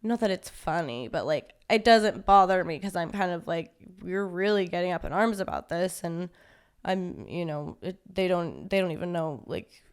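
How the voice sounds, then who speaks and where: Clear-voiced young woman, breezy and candid. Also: lowish voice, expressive, normal speed. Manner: casual, many fillers, laughs things off. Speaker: podcast guest. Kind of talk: candid conversational interview.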